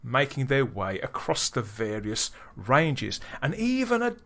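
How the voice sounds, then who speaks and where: A man hosting a podcast, chatting casually and delivering upbeat, theatrical links with quick laughs. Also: medium pitch, wildly swooping pitch, fairly bright tone. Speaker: podcast host.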